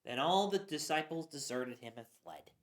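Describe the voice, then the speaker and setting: Low-voiced woman reading scripture aloud. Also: moderate pace. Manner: expressive reading with occasional stumbles. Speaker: scripture reader. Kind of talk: dramatic Bible reading. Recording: roomy echo.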